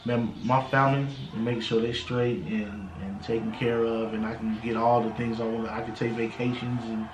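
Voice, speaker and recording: A man's voice: mid-range voice, little pitch movement, normal speed, few pauses, background noise. An American man in his thirties giving casual motivational talk as an interview guest, peppered with street slang and repeated check-in phrases. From United States. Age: 30s. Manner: street slang, frequent "you know what I'm saying". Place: indoors in a house. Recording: roomy, echoing home interview.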